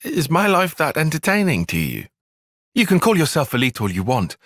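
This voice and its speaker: An actor playing a guarded, analytical young soldier-tactician in a video game, with formal, bookish phrasing and a dry, prickly edge. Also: male voice, fairly high pitch, wildly swooping pitch, normal speed, fairly bright tone, no room sound, exaggerated voice. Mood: scornful. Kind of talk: video game cutscene acting.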